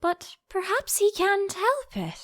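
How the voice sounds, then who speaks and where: Woman as a high-pitched, earnest young girl. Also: wildly swooping pitch, slow, a bit bright, exaggerated voice. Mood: musing. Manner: earnest, plaintive questioning. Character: Alice, curious, timid, exasperated young girl.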